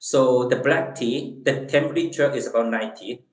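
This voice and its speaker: A man's voice: mid-range voice, normal speed. A man from Eastern China with a Chinese accent, chatting casually with viewers on a livestream, hesitant and repeating words as he searches for English phrases. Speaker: livestream host. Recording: echoey room.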